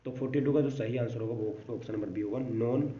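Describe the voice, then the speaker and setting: Male exam coach, brisk informal quiz delivery with English terms mixed in; roomy, distant recording. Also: mid-range voice. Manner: repeats catchphrases, mixes English terms into explanations. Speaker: online exam-prep teacher. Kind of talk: informal lesson reading out quiz questions and answers. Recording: dull, distant, echoey room with faint noise.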